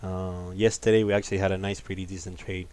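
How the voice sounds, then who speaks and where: Calm deep-voiced man hosting a live stream. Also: clear, resonant tone. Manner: calm, laid-back, unhurried. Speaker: host of a live trading session. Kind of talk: casual livestream market commentary.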